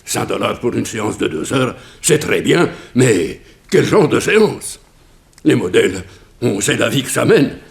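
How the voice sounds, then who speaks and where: Gravelly, fretful strict father. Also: mid-range voice, sing-song, measured pace. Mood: stern, indignant. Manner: hesitant, fretful, stumbling over words. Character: anxious, strict immigrant father of a grown daughter. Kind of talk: 1960s French radio drama acting.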